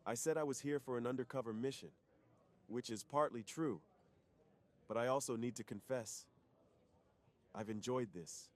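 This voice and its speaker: A man playing a secretive, polite undercover superhero who is shyly smitten, speaking earnestly and softly in an intimate ASMR roleplay. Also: medium pitch, normal speed, long pauses, natural voice. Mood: sincere, confessing.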